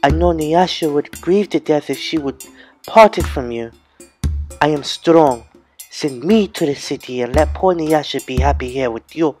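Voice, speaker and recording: A man's voice: fairly low pitch, normal speed, soft background music, lightly acted. A man voicing a greedy, haughty young woman who talks to others with scorn and command. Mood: falsely sweet.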